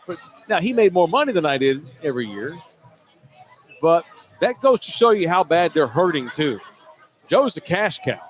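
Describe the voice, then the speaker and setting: A man hosting a casual sports podcast, joking crudely and reading out viewer comments. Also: high-pitched. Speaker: podcast host. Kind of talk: casual sports talk with a co-host.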